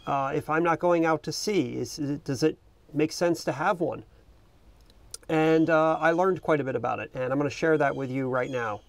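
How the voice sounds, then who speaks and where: American man, middle-aged, slightly high pitch, dry close sound, informal hobbyist explainer, unhurried delivery. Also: no echo. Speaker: boating video host. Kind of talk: casual explainer vlog. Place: outdoors in the cockpit of a small boat.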